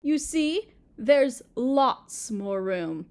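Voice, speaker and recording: Woman acting as possessive Mother Earth goddess, high voice, unhurried, cooing and motherly, gently menacing, audio roleplay. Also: lively intonation, exaggerated voice. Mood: delighted doting. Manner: sweet, cooing, motherly, talking as to a small child. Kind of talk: scripted roleplay audio drama.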